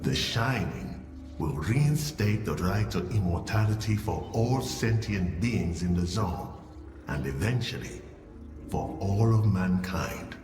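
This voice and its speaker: A solemn, grandiose visionary of a video game, preaching a new age in a low voice. The words are formal and prophetic. The voice rings from afar in a long, echoing hall with music behind it. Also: male voice, lively intonation, slow pace, distant mic. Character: solemn visionary leader promising immortality to mankind. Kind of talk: video game cutscene acting.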